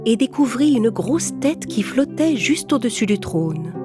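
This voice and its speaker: A woman's voice: a little high, sing-song, measured pace. Woman narrating a children's story over music.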